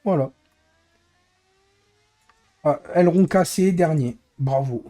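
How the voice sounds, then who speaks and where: A hoarse man who is losing his voice, grumbling in exasperation as he comments live on his racing game stream. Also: fairly high pitch, slow pace, warm tone. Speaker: streamer and racing league head.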